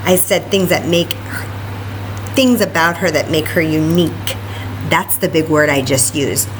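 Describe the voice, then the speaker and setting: A woman's voice: low voice, a little slow, noisy background. A woman hosting story time for young children, chatting and reading aloud with exclamations and questions addressed to her little listeners.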